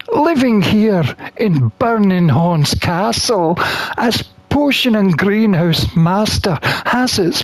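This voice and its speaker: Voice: medium pitch, dry recording, low-quality recording. A game streamer voicing a contented, wine-loving satyr herbalist who narrates a visual novel in a leisurely, dreamy way.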